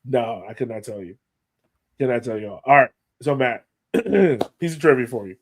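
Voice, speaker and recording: A man's voice: mid-range voice, animated, normal speed. A man co-hosting a soccer podcast in casual chat, with a playful, folksy way of putting questions. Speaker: sports podcast co-host.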